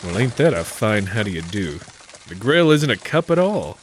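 A man plays a wily adventurer in a comedic 1940s-style radio play. He delivers sly, folksy patter full of old-time slang, with a lively pitch that rises and falls freely. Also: moderate pace, a little hiss or hum. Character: folksy, wily adventurer narrating his own scheme.

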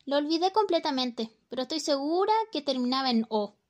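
A woman's voice: sits high, lively intonation, a little fast. A woman playing a flighty, mocking housewife. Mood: teasing. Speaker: radio drama actor. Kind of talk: student radio play acting.

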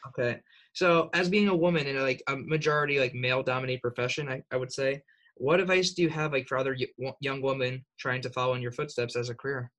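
Young woman with a very low voice. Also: steady tone, fast talker, fairly bright tone. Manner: hesitant, fillers, frequent laughs. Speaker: interviewer. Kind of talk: interview questions, casual.

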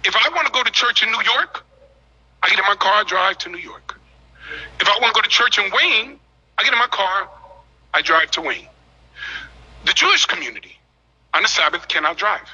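A man speaking as mayor, addressing a city council meeting in a measured, formal and emphatic way. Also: high-pitched, lively intonation, sharp tone, slightly rough. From United States. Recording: dull lo-fi meeting audio.

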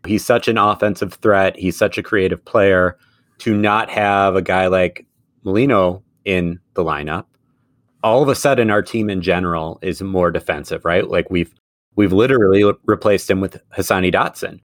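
A low-voiced man co-hosting a casual sports podcast, chatty and quick to laugh. His voice sits close and dry, with no room sound around it. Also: no room sound. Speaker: podcast co-host; middle school teacher.

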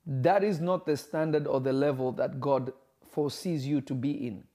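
A man preaching in an emphatic way, repeating his phrases and slowing down to stress his points. His voice is mellow and warm, and it sits at an ordinary pitch for a man. He delivers the sermon to a live online audience and greets viewers by name between points. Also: fairly even intonation. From Kenya. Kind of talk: livestream sermon reading viewer comments.

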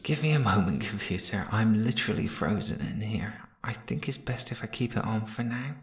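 An actor playing a stranded spaceship technician, wry and defiant, informal and sweary, in a sci-fi audio drama. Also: male voice, lowish voice, lively intonation, normal speed, echoey room. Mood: wry, weary.

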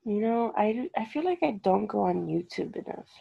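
A young woman speaks with a heavily gravelly, husky voice as a podcast co-host, hesitant and leaving thoughts half-finished. The recording is dull and lo-fi, muffling her voice. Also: mid-range voice, expressive, moderate pace. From United States. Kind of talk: casual podcast chat.

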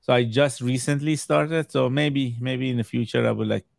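A man in his thirties whose first language is Farsi, a game collector chatting as a podcast guest over a video call, halting and full of fillers and restarts. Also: medium pitch, fairly even intonation, gravelly, no echo, clean studio sound. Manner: hesitant, many fillers and restarts. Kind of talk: casual chat.

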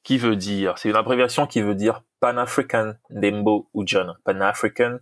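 Low-voiced Congolese coach, dry sound. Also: male voice, fairly even intonation, normal speed, a bit bright, no room sound. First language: Lingala. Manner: earnest, repeats himself, many restarts and fillers. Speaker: football coach, guest. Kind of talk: casual podcast interview.

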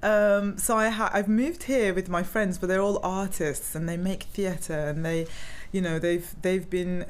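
Low-voiced chatty woman from Turkey. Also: steady tone, unhurried, few pauses, a little hiss or hum. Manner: chatty, rambling, laughs often. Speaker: guest, graphic designer. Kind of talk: casual interview chat.

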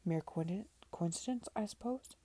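A woman voicing a stern, suspicious gentleman guardian in a period story, curt and commanding. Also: medium pitch, unhurried, slightly rough, no echo. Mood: accusing.

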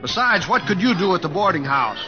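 An actor playing an excitable, insistent show-business booking agent in an old-time radio drama. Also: male voice, high voice, brisk, music in the background. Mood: urgent, pushy. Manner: urgent, pushy, insistent. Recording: dull, echoey old radio recording.

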